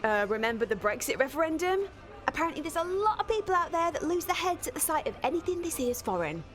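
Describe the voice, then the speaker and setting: An actress playing a feisty young English pub barmaid in a radio soap, combative, sarcastic and sharp-tongued. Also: female voice, high-pitched, big pitch swings, fast, faint music behind. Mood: indignant, defiant.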